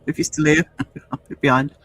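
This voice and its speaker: A man's voice: on the high side, steady tone, fairly quick, a bit bright, no echo, low-quality recording. A man in his fifties hosting a livestream, chatting casually and reading out comments, hesitant and thoughtful as he rephrases. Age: 50s. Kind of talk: casual discussion.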